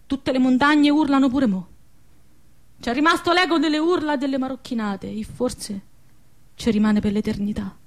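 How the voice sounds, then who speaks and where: A young village woman played by an actress in a radio play, wounded but stubborn and tender. Her voice is high and impassioned, in a rustic southern Lazio dialect, full of anguish. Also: normal speed, lightly acted. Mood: bitter, anguished. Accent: southern Lazio Italian dialect. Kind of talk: radio drama acting.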